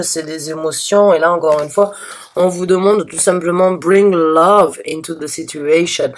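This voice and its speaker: A slightly hoarse woman giving a spontaneous tarot reading to her viewers, halting and restarting often, with earnest, reassuring advice. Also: low voice, a little hiss or hum. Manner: hesitant, halting with many restarts and fillers. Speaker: tarot reader.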